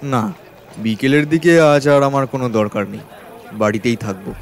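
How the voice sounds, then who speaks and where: An actor playing a carefree, trusting uncle in a radio drama, chatting in a jovial, easygoing manner. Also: male voice, medium pitch, moderate pace, natural voice. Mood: agreeable.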